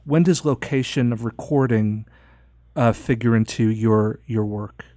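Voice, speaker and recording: A man's voice: medium pitch, measured pace, dark tone, no echo, studio quality. A man interviewing in a conversational way, musing and wordy as he circles toward his questions.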